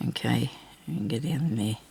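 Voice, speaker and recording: An older Australian woman with a low, husky voice roughened by a lingering cough, chatting casually and self-deprecatingly as she rambles through a craft video. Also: normal speed, a little mellow. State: lingering cough after weeks of sickness. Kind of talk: casual chat while hand stitching.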